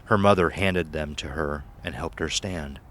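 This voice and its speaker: A man's voice: extremely low pitch, normal speed, fairly bright tone. A man reading a scripted horror story as narrator.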